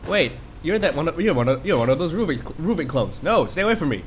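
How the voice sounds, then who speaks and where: A man's voice: on the high side, sing-song, quick pace, a little hiss or hum. A man with a stuffy nose from a cold, giving rambling, reactive commentary while he plays a horror game and talks to the screen. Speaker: let's play host. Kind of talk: casual gameplay commentary.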